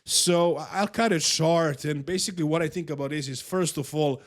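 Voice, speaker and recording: A man's voice: fairly high pitch, fairly quick. A man from Serbia with a Serbian accent, a native Serbian speaker, hosting a casual bodybuilding commentary and giving his emphatic, opinionated take with frequent repeats.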